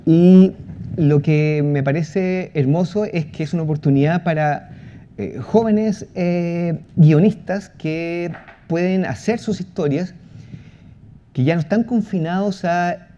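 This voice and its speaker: A man explaining a project in a talk, halting, with false starts and drawn-out pauses. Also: on the high side, mellow. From Chile. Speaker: podcast creator giving a presentation. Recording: muffled audio with clear background noise.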